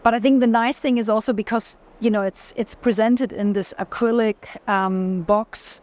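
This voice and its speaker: A woman's voice: a little high, fairly even intonation, moderate pace, a little mellow. A woman in an unscripted walking conversation about art, searching for words and rephrasing as she goes. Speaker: art collector in a gallery conversation. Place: art fair.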